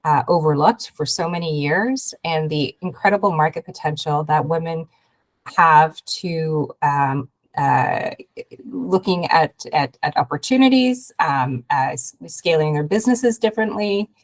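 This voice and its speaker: Hoarse woman speaking on a video call. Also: sits low. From Canada. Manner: halting, many fillers and restarts. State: hoarse, recovering from a cold. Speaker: guest speaker, investment program head. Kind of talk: webinar presentation.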